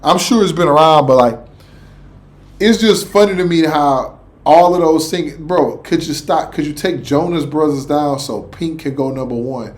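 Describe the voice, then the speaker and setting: A man giving casual, animated reaction commentary, repeating words and firing off rhetorical questions. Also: on the high side, moderate pace, a little hiss or hum. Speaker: reaction video host.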